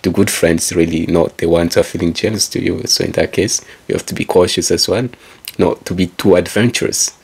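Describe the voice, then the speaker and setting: A man speaking in English, his first language being Kikongo, delivers a meandering motivational talk. His voice is very low and full of vocal fry. It has a husky, rough grain. He keeps circling back to the same phrases. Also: fairly even intonation, a little fast. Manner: calls out to listeners like a presenter. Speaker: author and speaker. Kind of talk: motivational monologue.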